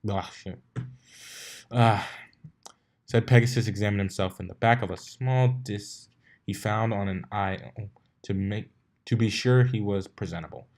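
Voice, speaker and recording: A man's voice: fairly low pitch, steady tone, measured pace, long pauses, darkish tone. A man reading a fan fiction story aloud as an informal narrator.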